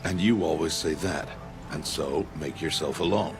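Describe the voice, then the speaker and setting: Very deep-voiced stern mentor. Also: male voice, moderate pace, creaky, music in the background. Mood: stern, measured. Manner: solemn, terse. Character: stern, patient, devout male mentor. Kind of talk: video game dialogue acting.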